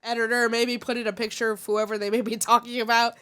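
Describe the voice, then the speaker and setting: Woman streamer, slightly high voice, stuffy nose, frank and opinionated casual chat. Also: little pitch movement, fast, bright, forceful tone, clear, resonant tone. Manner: blunt and opinionated. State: congested.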